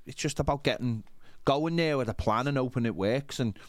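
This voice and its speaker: A man's voice: medium pitch, brisk, no echo. A man discussing football casually as a podcast panellist in a studio, thinking aloud somewhat hesitantly.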